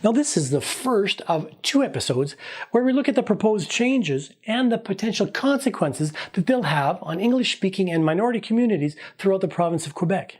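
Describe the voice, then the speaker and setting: A man with a fairly high voice hosts an interview podcast. He reads a scripted introduction and then poses questions in a measured, slightly hesitant way. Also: expressive, darkish tone, husky. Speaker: podcast host.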